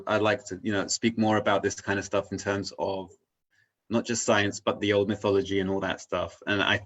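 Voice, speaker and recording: Low-voiced man, muffled sound, earnest and rambling. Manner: earnest, rambling, philosophical, often checking in with "you know". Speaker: interview guest. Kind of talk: casual reflective conversation.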